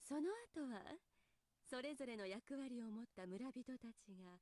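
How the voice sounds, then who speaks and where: A woman's voice: fairly high pitch, fast, darkish tone, dry recording, natural voice. An actress playing a graceful, smugly amused woman who explains gruesome lore in a teasing way, in Japanese visual novel style. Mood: amused, macabre. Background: game music.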